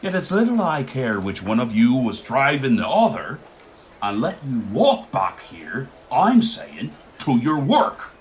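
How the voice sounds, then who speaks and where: An actor playing a gruff, bossy Irish village blacksmith in a radio play, speaking in a scolding, emphatic way. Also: male voice, mid-range voice, huge pitch swings, measured pace, a little hiss or hum. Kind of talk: radio play acting of rural Irish dialect.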